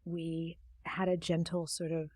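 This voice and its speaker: A woman guest in a conversational interview, talking about her book in a reflective, soft-spoken storytelling manner. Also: sits low, monotone, measured pace, slightly rough, no room sound. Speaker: author and guest. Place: studio.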